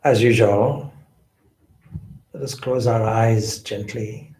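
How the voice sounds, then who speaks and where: Slow-paced man guiding meditation, echoey room. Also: fairly low pitch, fairly even intonation, a little mellow. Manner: deliberate, measured phrasing with pauses. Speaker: meditation teacher, swami. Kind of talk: guided meditation, spiritual instruction.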